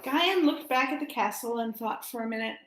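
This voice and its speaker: Woman with a highish voice, echoey room. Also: normal speed, slight background noise. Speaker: church storyteller. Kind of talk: story time talk for children. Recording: roomy echo.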